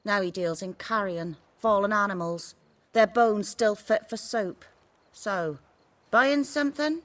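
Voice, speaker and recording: A woman's voice: medium pitch, a little slow, fairly bright tone, natural voice. A woman playing a blunt, sardonic village trader's wife in a video game. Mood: blunt, wry. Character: blunt, wry village carrion seller's wife.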